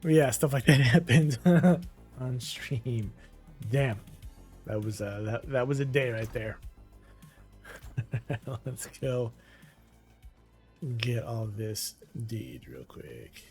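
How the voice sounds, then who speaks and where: A man's voice: mid-range voice, big pitch swings, drawn-out words, pauses often, a little mellow, faint music behind. Laid-back male streamer chatting over gameplay. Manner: laid-back, laughs often, trails off mid-sentence. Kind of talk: casual chat while gaming.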